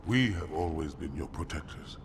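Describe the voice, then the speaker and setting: An actor voicing a noble, brooding alien warrior in a game cinematic, with a grave, restrained and proud delivery. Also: male voice, very deep voice, lively intonation, moderate pace, background noise. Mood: grave resolve. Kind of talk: video game cinematic acting. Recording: loud music behind the voice.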